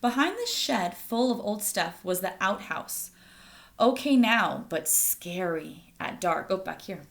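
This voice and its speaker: A woman's voice: mid-range voice, big pitch swings, gravelly, a bit bright. A woman reading a children's picture book aloud as a storyteller, addressing young listeners directly. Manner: pauses to ask listeners questions.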